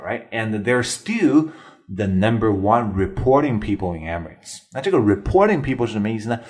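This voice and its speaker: A young man from China hosts a bilingual stand-up comedy lesson. His voice sits a little low. He talks animatedly and leaps up in pitch whenever he exclaims. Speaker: English-teaching comic host.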